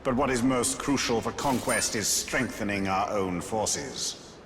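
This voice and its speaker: An actor playing a grim, determined male ranger in a video game, speaking gravely and with measure. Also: on the low side, measured pace, a bit bright. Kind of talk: video game character acting. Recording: clear background noise and ambience.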